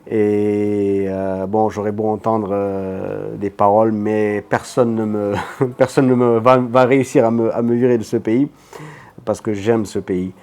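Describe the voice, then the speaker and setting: Earnest Senegalese man, mellow voice. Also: lowish voice, steady tone, a little slow. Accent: Senegalese French. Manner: earnest, emphatic, repeats words. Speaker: guest, motorbike shop owner. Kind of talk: interview answers.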